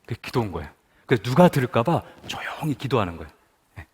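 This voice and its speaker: A man from Busan tells a personal testimony at a prayer meeting. His pitch moves freely up and down as he turns questions to the listeners. His voice carries a long reverb from a large hall. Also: very animated, fast, a little hiss or hum. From Busan, South Korea. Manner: storytelling, asks the audience questions. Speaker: guest speaker at a prayer meeting.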